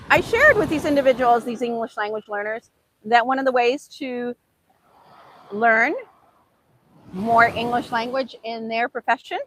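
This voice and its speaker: High-voiced woman, native Hungarian speaker. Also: fairly bright tone, low-quality recording. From United States, Hungarian immigrant family. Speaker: English teacher giving a motivational self-improvement talk. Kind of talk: informal motivational talk with rhetorical questions.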